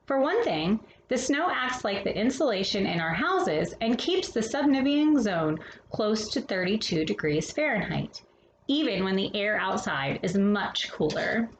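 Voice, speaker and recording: Female storytime host for children's books: very animated delivery, hushed phrase endings, echoey room. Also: fairly high pitch. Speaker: storytime host reading a picture book aloud. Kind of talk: expressive children's storybook reading. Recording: roomy echo with faint background noise.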